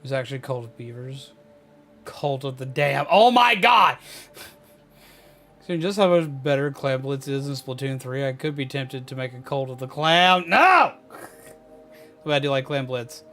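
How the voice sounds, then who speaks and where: A man's voice: medium pitch, lively intonation, unhurried, long pauses, sharp tone. A man doing casual game livestream commentary, joking playfully and repeating his phrases as he chats with viewers. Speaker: game streamer. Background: game music.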